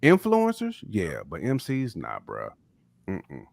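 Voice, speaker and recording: A man's voice: fairly low pitch, huge pitch swings, takes it slowly, vocal fry, dry recording. An American man giving opinions on a podcast, emphatic and slangy, often repeating his points. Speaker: podcast panelist. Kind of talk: casual opinionated discussion.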